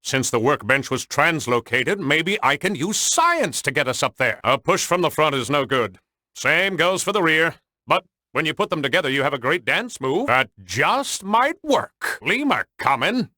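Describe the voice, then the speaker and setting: A man voicing a brainy, excitable cartoon penguin scientist in a video game, speaking with precise, technical and theatrically earnest delivery. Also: on the high side, normal speed, no echo, studio quality. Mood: eager, analytical. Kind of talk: animated cartoon game dialogue.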